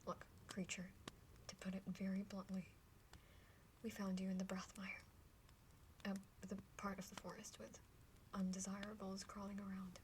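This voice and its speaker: A woman voicing a haughty, blunt older half-dragon sister in a breathy whispered ASMR roleplay. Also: medium pitch, completely flat delivery, normal speed, pauses often, lightly acted. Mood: blunt, disdainful. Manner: condescending, bluntly spoken. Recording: clearly noisy background with clicks.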